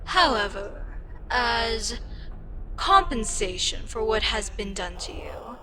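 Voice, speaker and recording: A woman voicing an ancient, weary eldritch deity in an intimate ASMR roleplay, speaking deliberately with pauses and breathy, whispery touches. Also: fairly high pitch, animated, pushed and bright, slight background noise, heavily acted, rough recording. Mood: gently regretful. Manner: slow, deliberate, pausing, drifting into breathy whispers. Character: ancient eldritch deity, female, weary, frustrated, wistfully amused by mortals. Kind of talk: ASMR audio roleplay, intimate scripted monologue.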